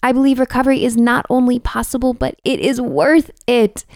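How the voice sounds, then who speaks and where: A young adult woman hosting a podcast, chatty and casual, saying "like" a lot, slightly raspy from a cold. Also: on the high side, fairly even intonation, brisk, a little mellow, no echo, clean studio sound. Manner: chatty, uses "like" a lot, self-deprecating. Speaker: podcast host.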